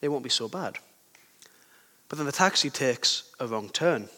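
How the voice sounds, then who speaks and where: A young man with a sore throat and a slightly croaky voice, giving a conversational sermon with personal stories and questions to his listeners. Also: medium pitch, animated, normal speed, bright, forceful tone. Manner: conversational, self-deprecating, asks the listeners questions. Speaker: preacher. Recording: long hall reverb.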